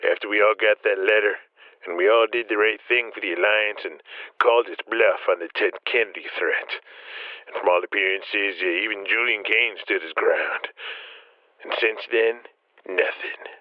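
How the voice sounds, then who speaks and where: A tough, talkative wrestling promoter with a low voice, heard down a tinny phone line. He is blunt and assured, a loyal ally in an audio drama. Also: normal speed, no room sound. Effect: telephone filter.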